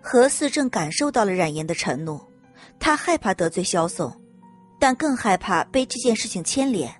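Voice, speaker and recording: A woman's voice: medium pitch, sing-song, normal speed. A woman reading scripted audiobook narration as the storyteller. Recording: music under the narration.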